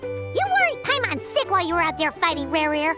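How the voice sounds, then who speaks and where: A tiny, excitable fairy sidekick from a fantasy game. The childlike voice is extremely high and squeaky, bouncing cheekily up and down, over prominent music. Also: female voice, a little fast. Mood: cheeky. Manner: squeaky, refers to herself in third person. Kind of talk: video game cartoonish voice acting.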